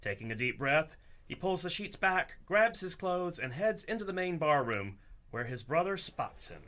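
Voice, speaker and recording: A man's voice: on the high side, very animated, moderate pace, sharp tone. A man hosting as dungeon master, narrating a scripted fantasy comedy in a theatrical storytelling style.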